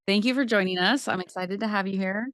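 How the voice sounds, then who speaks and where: A woman's voice: medium pitch, fast, fairly bright tone, dry recording. A woman hosting a podcast interview in a friendly, joking way. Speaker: podcast host, water agency general manager.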